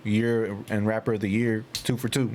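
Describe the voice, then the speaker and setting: A man's voice: lowish voice, unhurried, warm tone, a little hiss or hum. A man chatting casually as a podcast host, with slangy, profane and emphatic phrasing. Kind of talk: casual hip-hop chat.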